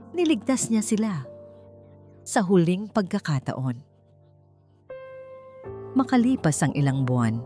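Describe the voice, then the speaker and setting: A woman narrating a scripted dramatic story in a measured, storytelling manner. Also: lowish voice. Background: background music.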